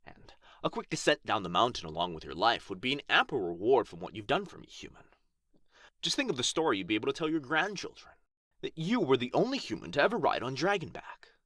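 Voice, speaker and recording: A man's voice: mid-range voice, fairly bright tone, dry recording, studio quality. A man playing a proud, pompous male dragon in a fantasy ASMR roleplay, with archly formal, theatrical phrasing. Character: proud, haughty injured male dragon, grudgingly grateful, teasingly possessive.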